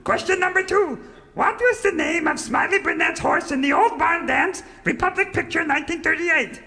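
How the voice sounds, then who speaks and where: A man's voice: extremely high pitch, moderate pace, pushed and bright, full character voice. A man voicing a tiny, testy, wise cowboy mentor creature with pompous inverted phrasing in a radio serial parody. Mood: solemn, quizzing. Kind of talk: old-time radio serial parody acting.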